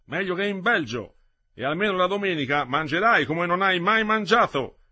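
A man voicing a blunt, hearty farmhand who calls out loudly in a read-aloud story. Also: sits high, lively intonation, moderate pace, voice pushed up, exaggerated voice. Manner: loud, hearty, calling out. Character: gruff rustic farmhand, loud and blunt.

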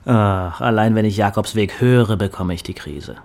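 A man's voice: on the low side, moderate pace, darkish tone, close to an everyday voice. A man playing a burnt-out, reclusive poet, grumpy, dry and defeatist, in a German radio play. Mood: bitter, defiant.

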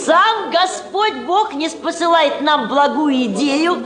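Soviet woman commissar: firm, shrewd, very high voice, echoing stage with music and audience. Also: lively intonation, takes it slowly, a crowd in the background. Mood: brisk, decisive. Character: authoritative middle-aged woman people's commissar, firm, shrewd, ironic. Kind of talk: Soviet stage drama acting. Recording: echoey theatre stage, music and audience behind.

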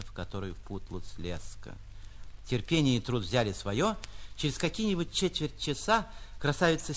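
A man, an actor reading a literary short story in an expressive, mock-grandiose theatrical style. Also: medium pitch. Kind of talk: theatrical literary audiobook narration for radio.

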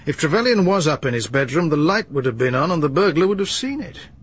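An actor playing a methodical police inspector who reasons aloud in a probing, measured way in a radio drama. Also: male voice, fairly high pitch, sing-song, husky. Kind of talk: 1980s BBC radio drama acting.

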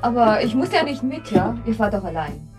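A woman's voice: fairly high pitch, very animated, brisk, music in the background. An actress in a German radio drama playing an unhappy young woman who pleads with her lover, in naturalistic style. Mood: casual, evasive.

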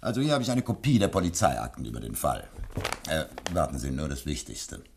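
An actor playing a courteous, businesslike embassy official briefing investigators in a German radio drama. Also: male voice, very deep voice, very animated, gravelly, noisy background, exaggerated voice. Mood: methodical, official. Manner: courteous, measured, reads out files methodically.

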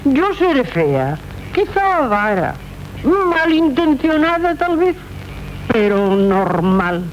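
High-voiced spiteful court lady, noisy recording. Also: sing-song, slow, full character voice. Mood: scornful, mocking. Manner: disdainful, theatrical. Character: spiteful, scornful noblewoman of the court. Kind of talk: 1970s Spanish radio theatre acting. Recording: noisy, muffled old radio recording.

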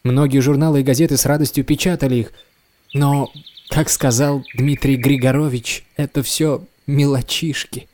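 A man's voice: medium pitch, steady tone, moderate pace, darkish tone, close to an everyday voice. Casting: male actor for weary, courteous writer yearning to escape; audio drama, mid-range voice, audible noise. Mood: wistful, doubting. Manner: wistful, courteous. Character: weary, restless, self-doubting male writer set on escape. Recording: clear background noise, some clicks.

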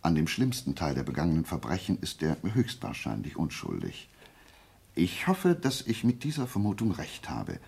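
A brilliant detective reasons aloud in a very deep, creaky voice. His delivery is deliberate and animated, with emphatic pauses and a self-assured, pedantic air. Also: exaggerated voice. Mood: grave, expectant. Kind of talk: German radio play acting.

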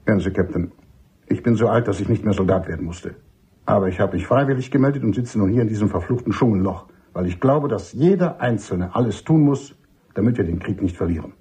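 A man playing an older army major and doctor in a radio drama, husky, emphatic and cynical, courteous but dismissive. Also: on the low side, expressive, brisk, mellow. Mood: stern, bitter. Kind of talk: German radio drama acting.

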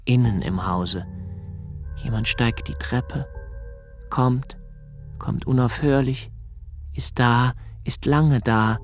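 An actor playing an anxious, observant young poet narrating a radio play in a slow, hushed, intimate way, sometimes sinking to a near whisper. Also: male voice, mid-range voice, faint music behind. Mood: brooding. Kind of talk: literary radio drama reading.

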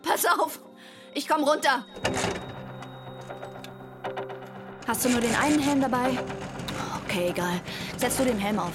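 A woman's voice: fairly high pitch, moderate pace, close to an everyday voice. A woman playing a restless, defiant teenage girl in love, confiding and emotionally charged in a German radio drama. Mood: defiant, exhilarated. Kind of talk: German radio drama, intimate first-person narration and dialogue. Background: music under the voice.

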